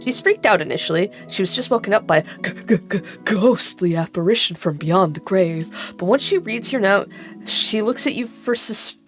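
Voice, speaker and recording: A woman's voice: medium pitch, expressive, normal speed. A woman reading an interactive gamebook aloud, breaking off into chatty, irreverent asides where she swears and laughs at herself. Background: background music.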